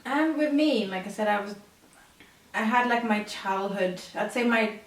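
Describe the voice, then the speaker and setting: A woman's voice: a little high, unhurried, far from the mic. Nigerian-born woman in her late twenties. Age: late 20s. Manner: relaxed storytelling, laughs often. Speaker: guest. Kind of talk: casual chat. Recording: roomy, from off mic.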